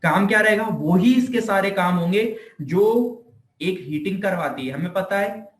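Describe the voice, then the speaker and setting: The voice is an Indian man's, pitched a little high, with clear room echo around it. He lectures informally like a teacher, circling back over his points. He often poses a short question and then answers it himself. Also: moderate pace. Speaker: physiotherapy teacher. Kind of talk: informal explanatory lecture in Hindi mixed with English terms. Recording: echoey room.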